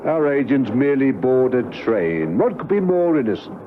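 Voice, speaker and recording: A man playing a gloating, coolly menacing villain in a classic spy radio drama, speaking in a measured way. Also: mid-range voice, very animated, close to an everyday voice. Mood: gloating menace. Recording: noisy, muffled phone-like vintage radio recording.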